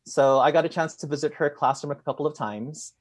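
A man who grew up in Hawaii, a teacher and guest, answering interview questions in a measured, friendly way. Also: fairly high pitch, breathy edge.

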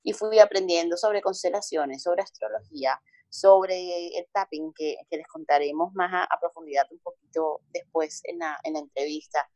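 A woman from Colombia with a Caribbean coast accent, a holistic psychologist telling her story in a relaxed interview, expressive and repeating words for emphasis. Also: fairly low pitch, normal speed, few pauses, no room sound.

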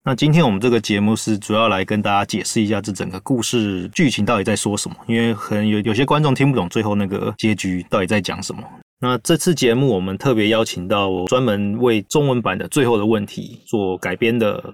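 Fast-talking, low-pitched Taiwanese man hosting. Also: dry recording, clean studio sound. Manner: informal, chatty, with asides. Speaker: podcast host. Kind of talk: casual explanatory podcast talk.

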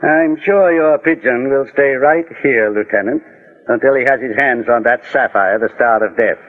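An actor playing a composed, authoritative American private investigator in a 1940s radio drama, speaking formally and deliberately. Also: male voice, mid-range voice, phone-quality sound, natural voice. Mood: firm, confident. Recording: thin, band-limited 1940s radio recording.

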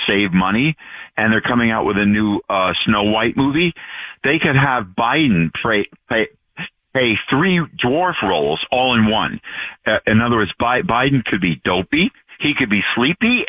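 A man's voice: fairly low pitch, dry recording. A man in his sixties, originally from Long Island, New York, calling in to a radio show and rambling in a jokey way, cutting himself off mid-thought. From Long Island, New York, USA. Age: 60s. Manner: rambling, jokey, self-interrupting. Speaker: caller. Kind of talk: call-in radio chat. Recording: tinny phone line.

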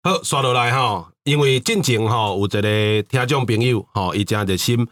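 A man's voice: mid-range voice, moderate pace, fairly bright tone. A man with a Taiwanese accent explaining things casually as a talk-show host, often repeating words for emphasis. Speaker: podcast host.

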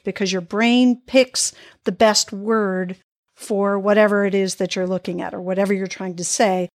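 An American-born woman hosts an interview, cheering her guest on and winding through long, chatty questions. Her voice sits at an ordinary pitch, recorded dry and close with almost no room sound. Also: no room sound. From United States. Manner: enthusiastic, affirming, long rambling questions. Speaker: interview host. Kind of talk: casual interview conversation.

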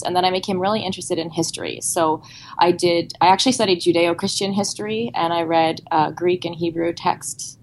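A woman's voice: fairly low pitch, slight background noise, poor audio. A woman in her thirties with a Canadian accent, answering interview questions in a friendly, talkative way. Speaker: guest athlete.

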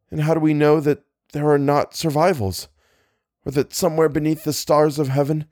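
A man voicing a tormented, disfigured archaeologist whose voice turns shrill and frantic. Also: darkish tone. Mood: brooding. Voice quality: shrill, rising to shrieks. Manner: frantic, anguished pleading.

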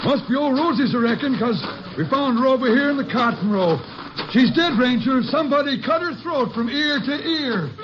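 An actor playing an uneasy country field worker with halting, folksy speech in an old radio western. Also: male voice, very high-pitched, expressive. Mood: shaken, urgent. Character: uneasy rural field worker reporting a killing. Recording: muffled, noisy old radio recording.

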